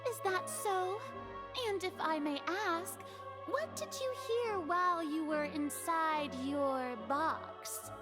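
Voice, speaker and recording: A woman's voice: very high-pitched, takes it slowly. An actress playing a pompous, theatrical young woman prosecutor in a video game, taunting and dramatic. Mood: confident, accusing. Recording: music behind the voice.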